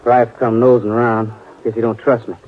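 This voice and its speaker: An actor playing a sullen, touchy young cowhand with a surly rural drawl. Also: male voice, mid-range voice, flat delivery, fairly quick, lightly acted. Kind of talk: 1950s radio drama acting. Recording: tinny old radio broadcast.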